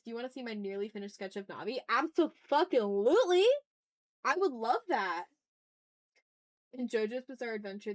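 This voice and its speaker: A woman chatting casually as she hosts an art livestream, talking to her viewers in an animated, slangy, teasing way with some swearing. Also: medium pitch. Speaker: livestream host and artist. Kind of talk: casual art livestream chat, reading and answering chat messages.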